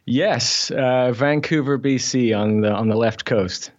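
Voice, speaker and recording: A man's voice: mid-range voice, measured pace, dull audio. A man in an interview, with a mixed British and Canadian accent, chatting easily, laughing often and using many fillers. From Scotland. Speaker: guest. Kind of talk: podcast interview.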